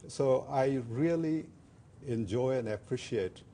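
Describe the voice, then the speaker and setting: An older man from Bangladesh whose first language is Bengali, a guest on a community TV interview, speaking haltingly with many fillers and restarts. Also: medium pitch, dark tone, slight background noise.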